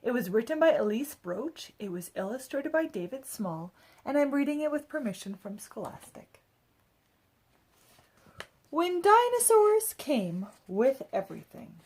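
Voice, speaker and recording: A woman's voice: sits high, sing-song, measured pace, a little mellow, a little hiss or hum. A woman reading a children's story aloud with expression. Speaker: storybook reader.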